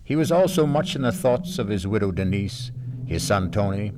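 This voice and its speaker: A man narrating a 1970s radio mystery drama in a measured, dramatic storytelling manner. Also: on the low side, animated, over music, lightly acted. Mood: grave. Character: male mystery narrator, grave, ominous.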